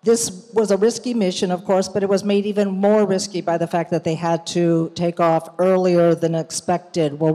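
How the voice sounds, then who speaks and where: A woman from the United States, a journalist, giving a measured storytelling talk at a commemorative event, with some fillers and restarts. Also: fairly low pitch, dark tone. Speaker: journalist and guest speaker. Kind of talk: prepared public talk. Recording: reverberant hall.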